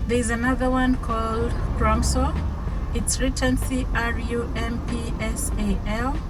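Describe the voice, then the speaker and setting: A woman's voice: on the high side, little pitch movement, measured pace, poor audio. A woman from Africa whose first language is not English, chatting casually to her viewers while driving, often laughing and repeating words for emphasis. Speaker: vlogger. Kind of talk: casual driving vlog chat. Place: inside a car. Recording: loud music underneath.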